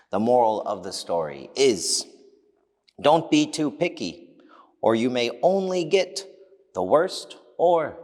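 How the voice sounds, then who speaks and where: Slow, expressive male storyteller. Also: medium pitch. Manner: expressive, deliberate. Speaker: storybook narrator. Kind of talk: scripted fable narration. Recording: long hall reverb.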